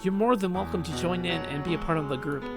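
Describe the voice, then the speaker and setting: A man playing an earnest, protective schoolboy in a visual novel, pleading and halting, with dramatic delivery. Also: high-pitched, over loud music.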